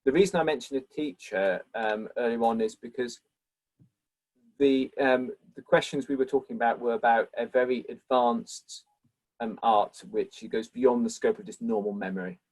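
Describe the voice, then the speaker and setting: A man answers questions after his lecture on an online symposium. He talks in a thoughtful, appreciative way at a mid-range pitch, and he thanks the people who ask. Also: normal speed, darkish tone, low-quality recording. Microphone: video call.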